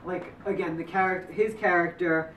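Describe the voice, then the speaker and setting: A man's voice: high voice, steady tone, moderate pace, lo-fi sound. A man, the memoir author and lead, answering audience questions in a rambling way, full of fillers and restarts. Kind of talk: post-screening Q&A answers. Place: community center screening room. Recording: echoey room from far off with background noise.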